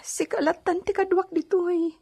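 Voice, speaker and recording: A woman's voice: very high-pitched, fairly even intonation, moderate pace, gravelly, a little mellow. An actress playing a weak, pleading pregnant young lady in a radio drama, plaintive and weary, with a Filipino accent. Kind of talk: Ilocano radio drama acting.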